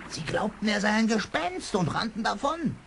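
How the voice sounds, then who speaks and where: A theatrical boatman from a children's radio play. His fairly high male voice swings widely as he spins spooky warnings and tales. Clear background noise sits behind him. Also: sing-song, moderate pace, fairly bright tone, heavily acted. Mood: ominous, suspenseful. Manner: dramatic, suspenseful delivery. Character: male boatman guide, teasing spooky storyteller. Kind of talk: German children's audio drama acting. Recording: noisy vintage radio play with ambience.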